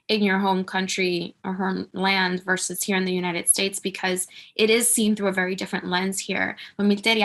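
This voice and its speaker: A woman's voice: medium pitch, fairly bright tone. A woman moderating a panel discussion, responding attentively and handing over to the next speaker.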